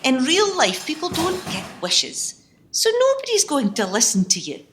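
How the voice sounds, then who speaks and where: Sceptical working woman female amateur actor, radio play. Brisk, incredulous, highish pitch, extremely expressive delivery. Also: slight background noise, full character voice. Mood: scolding. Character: feisty, sceptical, kind-hearted working woman on day off.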